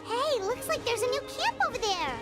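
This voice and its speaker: A woman voicing a tiny, childlike, curious fairy guide in an anime video game, squeaky and eager. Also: lively intonation, normal speed. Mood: curious, chirpy. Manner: refers to self in third person, eager. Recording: game music playing behind.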